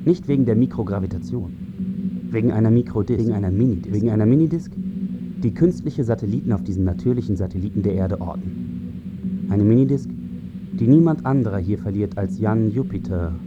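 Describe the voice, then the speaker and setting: An actor playing a commanding male astronaut and mission leader in a radio play, with declamatory, deliberate delivery. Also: on the low side, very noisy, lightly acted. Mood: intent, solemn. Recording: dull sound under loud music.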